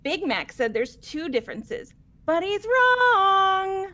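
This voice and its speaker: A woman's voice: extremely high pitch, big pitch swings, takes it slowly, pushed and bright, heavily acted. A woman voicing a manic, flamboyant young female serial killer in a game, gleefully boastful, with theatrical, taunting delivery. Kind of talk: amateur game voice acting.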